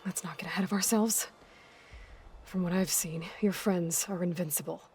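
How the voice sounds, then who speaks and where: Casting: actress for a skeptical, defiant young game heroine, fairly low register, blunt delivery. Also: female voice, steady tone, unhurried, a little mellow, a little hiss or hum, close to an everyday voice. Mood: guarded. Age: young adult. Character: wary, defiant young warrior heroine in her twenties. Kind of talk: cinematic video game acting.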